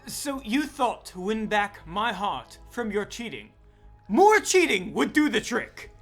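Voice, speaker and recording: A man playing a jealous, wounded vampire in a dark comedy audio drama, prim and theatrically indignant. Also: sits high, big pitch swings, slow, vocal fry, faint music behind, exaggerated voice. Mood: hurt, accusing. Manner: indignant, pouting, melodramatic.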